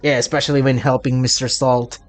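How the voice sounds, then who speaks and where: Female playthrough host: deep register, slow casual delivery, playful exclamations, faint music behind. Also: level tone. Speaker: gaming video host. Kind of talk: casual playthrough commentary with character lines read along. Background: game music.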